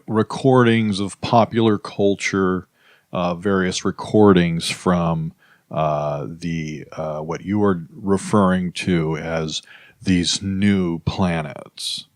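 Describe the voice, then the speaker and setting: Male comedy radio host, deadpan and formal, unhurried halting delivery, fairly low pitch. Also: dry recording, studio quality.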